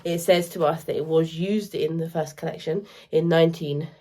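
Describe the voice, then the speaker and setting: A woman's voice: low voice, steady tone, dark tone. A woman from the UK, a luxury reseller co-hosting a vlog, explaining pricing in a practical, instructive way. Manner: practical, instructive, quick asides. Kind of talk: casual explaining to camera.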